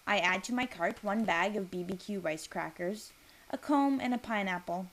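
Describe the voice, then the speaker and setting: A woman reading a first-person literary piece aloud, with expressive, deliberate delivery and dramatic emphasis. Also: on the high side, rough recording.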